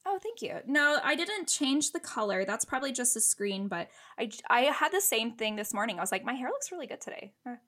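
A woman's voice: on the high side, sing-song, brisk, hardly any pauses, bright, forceful tone. A young woman co-hosting a podcast in casual chat, giving friendly, brief, supportive replies. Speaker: podcast co-host.

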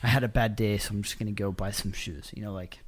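A man's voice: on the low side, fairly even intonation, fast talker. Earnest male podcast co-host giving advice. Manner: earnest advice with filler words and restarts. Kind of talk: casual podcast chat.

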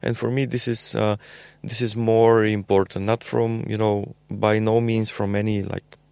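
A young adult man with a Bulgarian accent, a podcast guest talking casually in a halting way with many fillers and repeated words. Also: on the low side, flat delivery, vocal fry, a little mellow, smooth, no echo. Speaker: podcast guest, label co-founder. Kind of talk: casual conversational interview.

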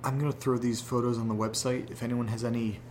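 A man's voice: medium pitch, level tone, fast talker, a little mellow, slight background noise, lightly acted. An actor playing an anxious young man who talks hesitantly and rambles, in a horror audio drama. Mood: frightened. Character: anxious young man receiving strange photos.

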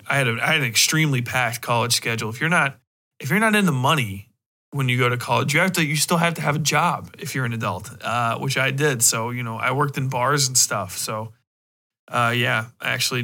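A man hosting a podcast, chatting casually and rambling in a halting, conversational way. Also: medium pitch, pushed and bright. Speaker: podcast host. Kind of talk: casual rambling solo chat.

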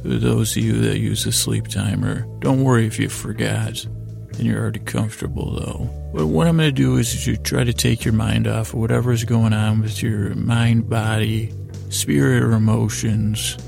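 Creaky-voiced American man, lulling and rambling. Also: lowish voice, steady tone, moderate pace, a little mellow, soft background music. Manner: soothing, lulling, meandering and hesitant. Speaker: podcast host. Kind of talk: rambling bedtime monologue to help listeners sleep.

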